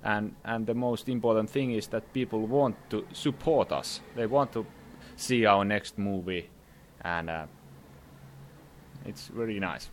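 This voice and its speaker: A man's voice: fairly low pitch, measured pace, a little hiss or hum. A young man, a filmmaker answering interview questions in a hesitant way with many fillers. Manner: hesitant, many fillers, laughs while talking. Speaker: filmmaker guest. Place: conference summit venue.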